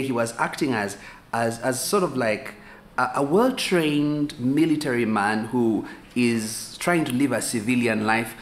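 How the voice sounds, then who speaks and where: Ugandan pastor teaching in an echoey room. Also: male voice, mid-range voice. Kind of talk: conversational teaching sermon to camera. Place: small room, seated on a sofa indoors. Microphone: camera at a few feet, medium shot. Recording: echoey room with faint hiss.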